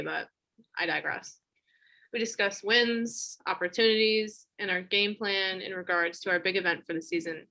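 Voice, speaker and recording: An actress playing a brisk, sardonic office meeting leader in a comedy web series, businesslike with dry sarcasm. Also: female voice, medium pitch, pushed and bright, low-quality recording.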